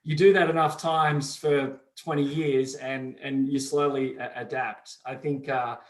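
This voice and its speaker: A man's voice: mid-range voice, flat delivery. Australian man in a roomy echo. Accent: softened Australian. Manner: measured, explanatory, many fillers. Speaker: company CEO, guest. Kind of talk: interview answers.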